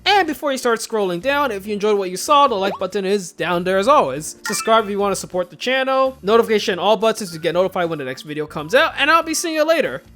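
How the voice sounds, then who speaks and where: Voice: mid-range voice, expressive, fast, hardly any pauses, a bit bright, faint music behind. A YouTube host giving scripted commentary, emphatic and stretching words for comic exaggeration. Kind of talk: scripted video commentary on visual novels.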